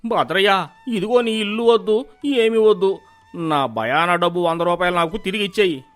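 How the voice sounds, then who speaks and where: A man voicing an excitable, gullible village merchant in a cartoon moral story, exclaiming in an exaggerated way. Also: sits high, normal speed, faint music behind. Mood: angry, panicky.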